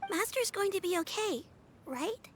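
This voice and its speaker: A woman's voice: extremely high pitch, animated, unhurried, a little hiss or hum. A woman playing an anxious young female disciple in a video game. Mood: worried. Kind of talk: video game cutscene acting.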